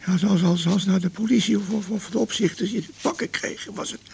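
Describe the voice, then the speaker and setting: Bitter old guy in his 80s, very creaky high husky voice, stammers, radio play. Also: fairly even intonation, a little mellow, full character voice. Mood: wistful, reminiscing. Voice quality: gravelly, husky. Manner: halting, stammering, repeating words. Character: bitter, stubborn old man weary of life. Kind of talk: naturalistic radio drama acting.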